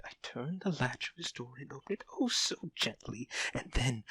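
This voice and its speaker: An actor playing an obsessed, unhinged murderer in an audio drama horror monologue, theatrical, moving between breathy hush and sudden outbursts. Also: male voice, medium pitch, no room sound. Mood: sinister whispering. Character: obsessed, unhinged murderer confessing his careful crime.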